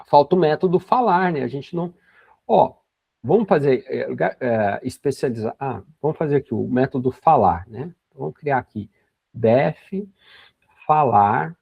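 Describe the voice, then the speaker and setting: A man's voice: medium pitch, normal speed, gravelly, slightly rough, no room sound. A man in his forties teaching a programming class, explaining each step patiently with frequent tag questions. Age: 40s. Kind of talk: screen-recorded coding lesson. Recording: dry, dull lo-fi sound.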